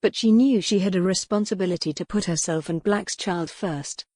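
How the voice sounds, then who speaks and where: Female audiobook narrator for historical romance, lowish pitch, scripted storytelling, dry recording. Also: normal speed, darkish tone, no room sound.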